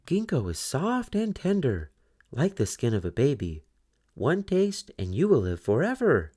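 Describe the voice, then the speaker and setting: A man's voice: medium pitch, wildly swooping pitch, a little slow, a little mellow, breathy edge, pitched above the performer's own voice, exaggerated voice. A man voicing a clever, sweetly cunning young girl, the eldest sister, in a coaxing sing-song way.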